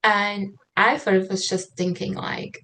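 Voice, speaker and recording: A woman from Slovakia with a Slovak accent tells her personal story as an interview guest, haltingly, searching for words and repeating herself. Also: medium pitch, little pitch movement, bright, forceful tone. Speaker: interview guest, writer and mentor. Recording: noticeable background noise.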